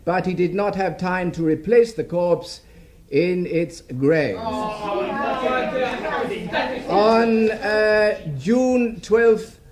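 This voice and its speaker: A fussy male court official in a radio play. His voice is pitched high. He reads formally and primly, hesitating over his words as he goes. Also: darkish tone. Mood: flustered, halting. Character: fussy, halting court official reading out charges. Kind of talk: radio drama, formal reading of evidence.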